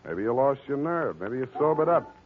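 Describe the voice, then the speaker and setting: A man acting a wry, weary hard-boiled detective in a deadpan, clipped 1940s radio drama style. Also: medium pitch, normal speed, slight background noise, natural voice. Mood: matter-of-fact. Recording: muffled old radio recording with music.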